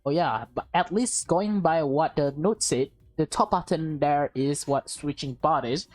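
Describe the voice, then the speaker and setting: A woman acts out a nervous young man from a visual novel game. The voice is halting, earnest and apologetic, over game music. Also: sits low, darkish tone. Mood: explaining. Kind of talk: visual novel read aloud in character.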